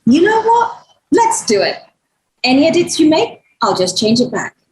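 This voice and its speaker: Woman playing an animated, exasperated young daughter. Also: sits high, normal speed, rough recording. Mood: defiant. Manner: dry sarcasm, dramatic. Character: exasperated, sarcastic young adult daughter, a writer. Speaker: actor in a script table read. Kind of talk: table read of a screenplay.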